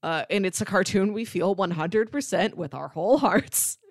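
A woman's voice: mid-range voice, moderate pace, no echo, clean studio sound. A woman hosting a podcast, talking casually and enthusiastically about film with emphatic delivery. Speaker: podcast host.

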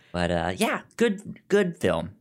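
A man co-hosting a podcast in casual film discussion, halting and often repeating words as he goes. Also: mid-range voice, huge pitch swings. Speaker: podcast co-host.

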